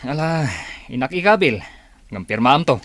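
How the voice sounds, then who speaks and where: A man's voice: on the high side, animated, moderate pace, a bit bright, a little hiss or hum. A man acting as a pushy, resentful office associate in a dramatised audio story. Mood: pushy, annoyed.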